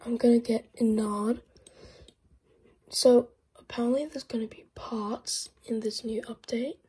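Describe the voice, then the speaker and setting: A woman with a blocked nose and a croaky voice, giving excitable gameplay commentary with frequent whispered asides. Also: fairly high pitch. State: congested, croaky voice. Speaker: gaming YouTuber.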